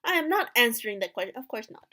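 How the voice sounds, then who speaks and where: A high-pitched, guarded young prince voiced by a woman from a story game. He is curt and stubborn, brushing people off with clipped, dismissive lines. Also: very animated, fast, pushed and bright, heavily acted. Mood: curt, irritated.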